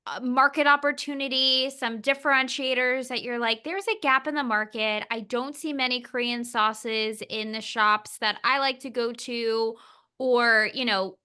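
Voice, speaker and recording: A woman hosts a casual interview with gushing enthusiasm, winding her way through long questions. Her voice sits a little high, bright and ringing with a smooth, crisp clarity. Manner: enthusiastic, effusive, rambling questions. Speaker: interview host. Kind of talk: conversational interview.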